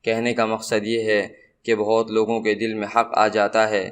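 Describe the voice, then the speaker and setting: A man narrating a religious moral story in a measured, formal way, as a scripted storyteller. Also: on the low side, monotone, clean tone.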